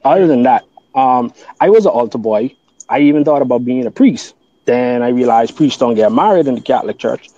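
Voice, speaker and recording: A man's voice: medium pitch, expressive, fairly quick, mellow, dull audio. A man from the Bahamas, a guest on a casual podcast, who explains his beliefs earnestly and at length, often restarting his sentences.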